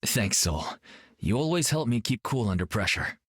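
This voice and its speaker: Brash joking martial arts hero, dry booth recording. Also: male voice, on the low side, big pitch swings, moderate pace, husky, dry recording. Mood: cocky. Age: young adult. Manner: cocky, joking. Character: brash young male martial artist, cocky and upbeat. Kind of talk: video game voice acting.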